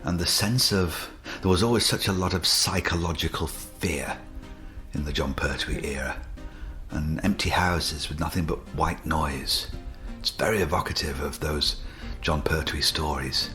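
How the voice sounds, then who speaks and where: A man's voice: very deep voice, steady tone, measured pace, sharp tone, faint music behind. A man giving reflective, enthusiastic commentary on the episode.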